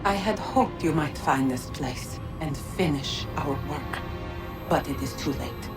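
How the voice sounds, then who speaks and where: A grave goddess of a fallen civilization, fairly low in pitch. She speaks formally and with stern regret, each phrase measured, over game music. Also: low-quality recording. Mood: grave, regretful. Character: grave, regretful female ancient godlike being, stern and pleading. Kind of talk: dramatic video game acting.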